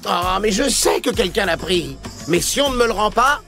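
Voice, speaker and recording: An actor playing an excitable, cunning, boastful trickster man in a French children's cartoon, theatrical and exclamatory. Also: high voice, moderate pace, vocal fry, heavily acted. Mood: agitated. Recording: music behind the voice.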